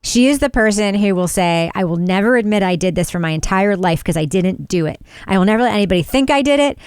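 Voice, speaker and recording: A woman hosting a casual true-crime podcast chat, animated and quick to agree, laughing often. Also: medium pitch, clear, resonant tone, no room sound, clean studio sound. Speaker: podcast host.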